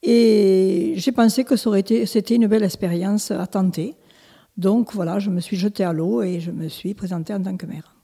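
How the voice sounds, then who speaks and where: A woman's voice: medium pitch, steady tone, normal speed, dark tone, slightly rough, long reverb tail. A woman in her seventies, born in Italy, answering interview questions as a village mayor, hesitant with many restarts and fillers. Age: 70s. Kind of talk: local interview.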